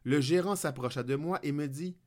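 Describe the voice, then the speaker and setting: A man's voice: a little high, moderate pace. A man from Quebec with a Québécois French accent, hosting a podcast and reading a scripted humorous monologue full of declamatory wordplay. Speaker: podcast host.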